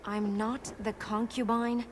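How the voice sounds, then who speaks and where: A woman's voice: fairly high pitch, flat delivery, takes it slowly. A woman playing a determined, stern young warrior princess in a video game, speaking tersely. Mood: stern, dry. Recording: very noisy game ambience behind.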